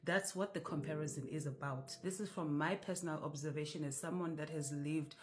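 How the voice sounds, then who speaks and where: Female commentator, deep-pitched, calm argumentative explanation. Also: brisk. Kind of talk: direct-to-camera commentary.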